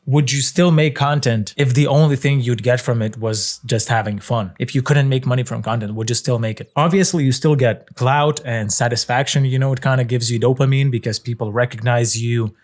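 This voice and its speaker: A young man from Lithuania, speaking English with a Lithuanian accent, talking to camera in a relaxed, reflective monologue that is full of fillers and casual swearing. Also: mid-range voice, brisk, few pauses. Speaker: content creator.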